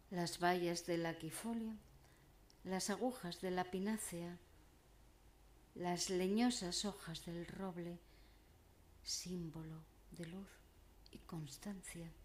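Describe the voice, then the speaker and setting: A woman declaiming poetry in a solemn, theatrical way, with deliberate pauses between phrases. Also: on the low side, a little mellow. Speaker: poet reciting own poem.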